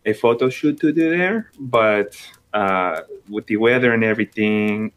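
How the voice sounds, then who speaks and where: Man voicing a female actress, Colombian accent, vain, tipsy, blunt, rambling, lowish voice. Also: unhurried, fairly bright tone. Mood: haughty tipsy. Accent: put-on Colombian Spanish accent. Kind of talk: improvised comedy character.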